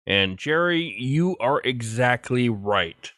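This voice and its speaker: A man's voice: medium pitch, animated, slow pace, a bit bright, no room sound, studio quality. A man hosting a podcast, talking directly to listeners as he goes through messages and show announcements. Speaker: podcast host.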